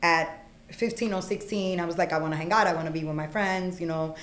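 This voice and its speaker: A middle-aged woman born in Puerto Rico, a podcast guest telling her life story frankly, with plenty of ums and you-knows, on a desk boom mic in a small studio. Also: steady tone, a little fast, fairly bright tone, echoey room. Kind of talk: candid life story in conversation. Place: small studio room with acoustic panels.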